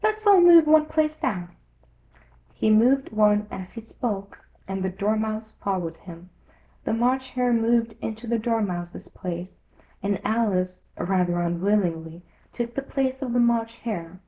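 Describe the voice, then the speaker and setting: A woman narrating a children's story from a script in an expressive storytelling style. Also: medium pitch, a little slow, low-quality recording.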